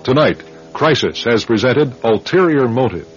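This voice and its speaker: A man hosting a radio drama with measured, formal scripted narration. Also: medium pitch, expressive. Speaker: host, writer and director of radio drama. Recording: dull radio recording. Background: loud music.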